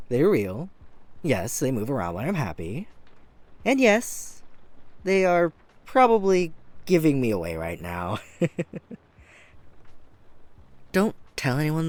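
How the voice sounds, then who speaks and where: Guy doing a sweet flirty catboy hairdresser, bashful and gentle, super animated pitch, really dry close mic, roleplay audio. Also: no room sound. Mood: bashful, amused. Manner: gentle, bashful, earnest, chuckling at himself. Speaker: voice actor. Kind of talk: flirty ASMR-style roleplay audio drama. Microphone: close studio mic.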